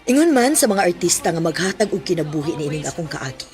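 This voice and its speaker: A woman's voice: fairly low pitch, very animated, fast, a little mellow, soft background music. A woman with a Cebuano accent playing a protective middle-aged mother, easily exasperated, in a radio drama. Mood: warm narration. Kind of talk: radio drama acting and narration.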